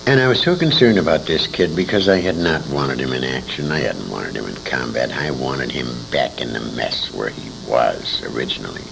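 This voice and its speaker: An older man, a war veteran being interviewed, tells anecdotes in an emphatic, salty, halting way, acting out the dialogue. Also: a bass voice, animated, creaky. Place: indoor interview at a convention. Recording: noisy amateur interview tape with room ambience.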